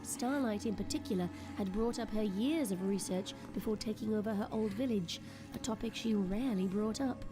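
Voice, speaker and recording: A woman giving dramatic scripted narration as the narrator of an audio drama. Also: mid-range voice. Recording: music behind the voice. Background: clear background music.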